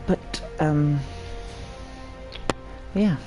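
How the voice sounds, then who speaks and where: A man with a cold, hoarse and phlegmy, rambling through casual gameplay commentary and clearing his throat now and then. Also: a little high, extremely slow. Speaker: gaming channel host. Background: video game music.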